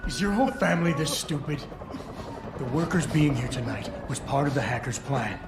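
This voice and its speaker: An actor playing a cool, hard-edged security agent in a video game, terse, dry and controlled. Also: male voice, medium pitch, normal speed, lightly acted. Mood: stern, scornful. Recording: echoey game audio under loud music.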